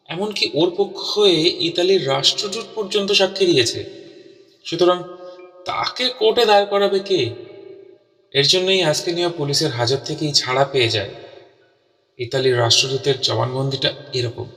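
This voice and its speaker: Guy playing detective's earnest sidekick telling a mystery, slightly high bright voice, dramatic audio story. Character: earnest, puzzled male companion of a detective, narrating the mystery. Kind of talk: dramatic first-person audio story narration. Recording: distant voice in long hall reverb.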